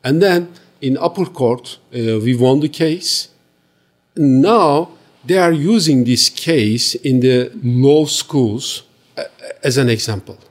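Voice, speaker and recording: A man from Turkey with a Turkish accent, speaking halting, earnest non-native English as an interview guest giving testimony. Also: mid-range voice.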